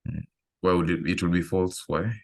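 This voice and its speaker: A man with a remarkably deep, low voice leads an online programming class. He talks at an easy pace, stopping to think and filling gaps with "um" as he works through examples. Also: gravelly. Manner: relaxed, thinking aloud with pauses and fillers. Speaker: coding instructor. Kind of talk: live online coding lesson.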